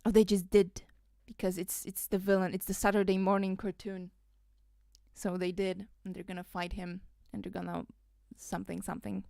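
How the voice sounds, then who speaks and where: A woman's voice: mid-range voice, level tone, moderate pace, creaky, warm tone, dry recording. A woman from Poland, rambling and laughing through casual livestream commentary, full of fillers. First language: Polish. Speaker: streamer.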